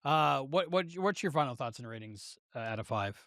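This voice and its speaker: Male co-host, forceful bright tone, casual halting chat, very dry recording. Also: medium pitch, animated, no room sound. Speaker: podcast co-host. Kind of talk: casual chat, review podcast.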